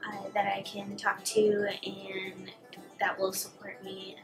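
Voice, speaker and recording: A woman's voice: medium pitch, completely flat delivery, slow, faint music behind. A young woman from Central New York, a resident assistant, speaking in a friendly, slightly hesitant way. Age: young adult. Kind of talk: casual interview answers. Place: indoors before a plain grey backdrop.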